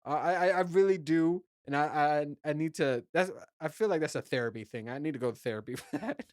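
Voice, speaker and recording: A man with a low voice, hosting his own show and telling a loose, profane travel story with lots of fillers and restarts. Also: big pitch swings, moderate pace, a little mellow, no room sound, studio quality. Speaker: podcast host. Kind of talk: casual solo chat.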